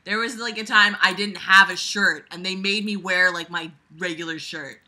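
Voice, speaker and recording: Bright, forceful woman reading with mocking asides. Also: lowish voice, steady tone, moderate pace. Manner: exasperated, sarcastic, swearing freely. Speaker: book reader and commentator. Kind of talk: reading a novel aloud with mocking commentary.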